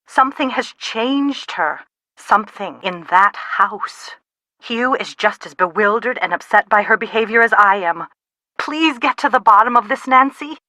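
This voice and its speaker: A woman playing an anxious, sharp-tongued middle-aged mother in a video game, with a dry, dramatic manner. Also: a little high, expressive, unhurried, no echo. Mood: worried, pleading. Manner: exasperated, dramatic, dry wit. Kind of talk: video game dialogue, phone conversation acting.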